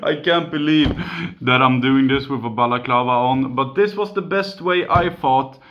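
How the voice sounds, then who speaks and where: A man's voice: mid-range voice, moderate pace, muffled recording, a little hiss or hum. A man in his twenties with a Swedish accent, chatting casually in a vlog recorded on a phone at home, with many fillers and restarts. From Sweden. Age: 20s. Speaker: YouTuber. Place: home room. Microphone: phone camera.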